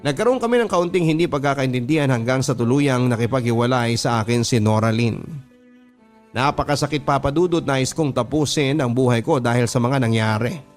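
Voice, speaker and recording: A man's voice: mid-range voice, fairly quick. Filipino male storyteller over music. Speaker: radio host reading listener letters. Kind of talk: dramatic first-person storytelling narration. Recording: music under the voice. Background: background music.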